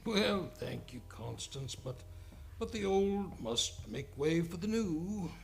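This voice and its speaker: A man playing a slow, creaky old doctor. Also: a little high, very animated, exaggerated voice. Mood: resigned, courteous. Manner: hesitant, halting. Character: old, courteous, halting outgoing male hospital chief. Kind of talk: old-time radio play acting. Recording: noisy live radio studio recording.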